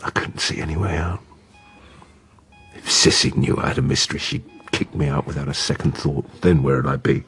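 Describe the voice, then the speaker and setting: An actor playing a weak, self-pitying adulterous husband in a radio drama, confessing to murder in a halting murmur. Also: male voice, a bass voice, a bit bright, slightly rough, soft background music, exaggerated voice. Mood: anguished confessing.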